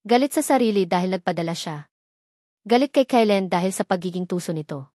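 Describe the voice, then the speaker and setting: A woman's voice: fairly low pitch, expressive, fairly quick, dark tone, dry recording, clean studio sound. A woman with a Filipino accent reading a scripted romance story as a narrator, in a solemn, melodramatic manner.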